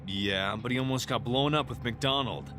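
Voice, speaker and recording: A man's voice: mid-range voice, normal speed, over music, close to an everyday voice. A man playing a protective, stubborn police detective in an audio crime drama, with an intense, questioning manner. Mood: questioning, sceptical.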